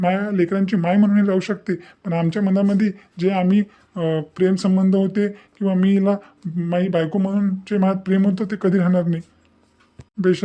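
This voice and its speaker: Guy doing a betrayed husband in a cartoon, highish soft-toned voice, hurt n stubborn. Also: highs cut off, lightly acted. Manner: firm, aggrieved, protesting. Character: hurt, unforgiving betrayed husband and young father. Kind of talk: Marathi cartoon moral drama dialogue.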